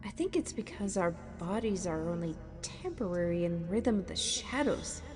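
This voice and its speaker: A woman doing a gruff, rowdy adventurer. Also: lowish voice, expressive, normal speed, big-room sound, soft background music, pitched below the performer's own voice, lightly acted. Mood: boisterous. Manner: gruff, uses lass and lad, bursts into shouts.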